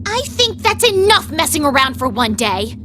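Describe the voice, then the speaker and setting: A woman's voice: high-pitched, very animated, moderate pace, noisy background. An actress playing a proud, hot-tempered young female knight in a video game, imperious and scolding.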